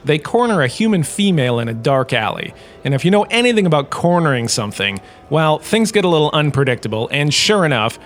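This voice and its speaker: A man playing a self-regarding, jokey professor giving a scripted comedic lecture with theatrical storytelling pauses. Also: a little high, a bit bright. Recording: clearly noisy background ambience.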